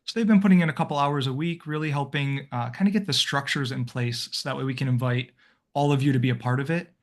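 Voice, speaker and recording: A man's voice: mid-range voice, fast. Rambling friendly man hosting a video call. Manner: friendly, rambling with fillers and restarts. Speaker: volunteer coordinator, call host. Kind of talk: casual video-call welcome.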